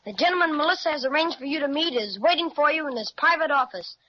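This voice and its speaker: Woman doing a friendly elf, high voice, polite and welcoming, dull radio audio. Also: quick pace, slight background noise, lightly acted. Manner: welcoming, gracious. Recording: muffled 1930s radio recording.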